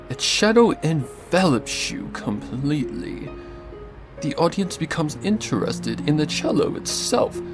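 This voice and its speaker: A man playing a nervous, gentle, earnest painter stallion in an audio drama, with halting delivery. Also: on the high side, full character voice. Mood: earnest, reflective. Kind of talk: audio drama character acting. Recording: music behind the voice.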